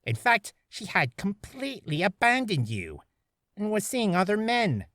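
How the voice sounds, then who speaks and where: A woman's voice: medium pitch, sing-song, unhurried, fairly bright tone, no echo, studio quality. A woman voicing a stern, formal courtroom prosecutor from a video game, with pointed delivery. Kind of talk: video game character voice acting.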